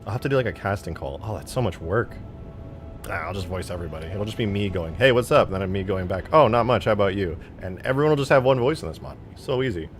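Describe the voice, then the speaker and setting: Guy streamer w a lowish voice, slangy n self deprecating, chatting to viewers, music in the back. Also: lively intonation, fast. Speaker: live streamer. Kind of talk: casual livestream chat, reading out viewer comments.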